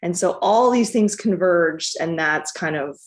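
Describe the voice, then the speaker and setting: A woman's voice: lowish voice, a little slow. A woman, a documentary director, answering interview questions in a reflective, casual way with many restarts and fillers. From United States.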